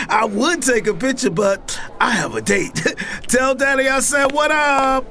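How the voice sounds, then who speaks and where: Man playing a cocky high-pitched playboy, drawling. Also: a little slow, noisy background. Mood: mocking, amused. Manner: taunting, drawling. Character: cocky, mocking rich young playboy brother. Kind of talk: audio drama acting.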